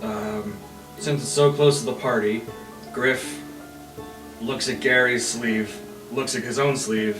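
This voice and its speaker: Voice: mid-range voice, fairly even intonation, takes it slowly, pauses often, music in the background. Roomy, distant tabletop player. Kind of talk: casual improvised game narration.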